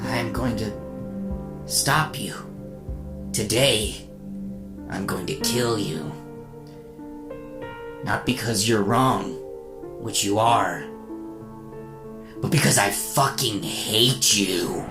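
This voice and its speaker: An earnest young robot boy hero played by a woman in a radio serial. The delivery is slow and melodramatic, fiercely determined. It rings with roomy echo, with music underneath. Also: a bass voice, heavily acted. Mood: cold vengeful anger.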